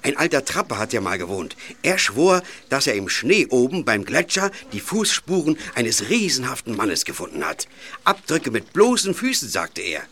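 A man's voice: medium pitch, big pitch swings, normal speed, pushed and bright. An actor playing a friendly village man in a radio play, telling eerie local tales in a folksy way. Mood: storytelling. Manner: folksy, storytelling.

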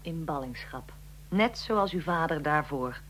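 A woman's voice: low-pitched, measured pace. A woman acting in a radio drama as a curious, easily startled young interviewer who questions a figure from history. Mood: curious, attentive. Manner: inquisitive, expressive, reacts with alarm and wonder. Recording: long echoing reverb, faint background noise.

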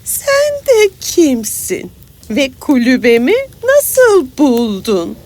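A woman voicing a gruff, reluctant old witch aunt in a cartoon fairy tale, curt and dismissive. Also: high voice, wildly swooping pitch, drawn-out words, darkish tone, slight background noise, heavily acted. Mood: suspicious, curt. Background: music.

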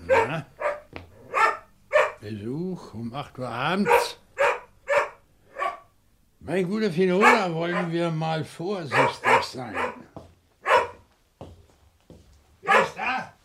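A man's voice: on the high side, sing-song, slow, pauses often, heavy background noise, exaggerated voice. An actor playing a dignified, wary professor in his seventies, speaking formal, articulate German radio-drama lines. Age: 70s. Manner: articulate, formal, indignant pride. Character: dignified, wary seventy-year-old French professor living among books.

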